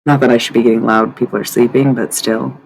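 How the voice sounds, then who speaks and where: A woman with a raspy voice hosting a live stream from home at night, chatting loosely to viewers and swearing freely. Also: a bass voice, little pitch movement, quick pace, a little mellow, slight background noise, rough recording. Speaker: livestream host. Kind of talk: casual live-stream chat. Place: at home at night, others asleep nearby.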